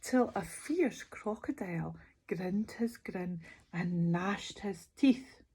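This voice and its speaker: A woman reading a children's picture book aloud as a bedtime storyteller, expressive and sing-song, putting questions to young listeners. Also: mid-range voice, slow, slightly rough.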